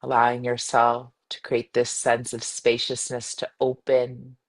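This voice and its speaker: Female meditation guide, mid-to-low voice for a woman, soothing and unhurried, gentle instructions with spacious pauses. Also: completely flat delivery. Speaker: meditation guide, yoga teacher.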